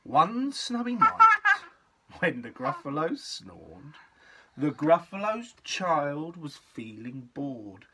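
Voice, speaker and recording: A man's voice: mid-range voice, bright, forceful tone, breathy edge. A man in his thirties, a father reading a bedtime story aloud in a theatrical, playful way. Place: small child's bedroom, indoors at a bunk bed. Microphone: phone recording at close range.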